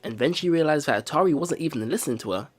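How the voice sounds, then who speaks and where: A man hosting a let's play, reading visual novel narration aloud in an expressive storytelling way. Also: on the high side, racing.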